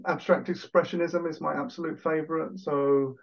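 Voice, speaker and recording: Gravelly English man, chatty and self-deprecating. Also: fairly high pitch, flat delivery, normal speed, highs cut off. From England. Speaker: guest. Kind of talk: casual interview chat.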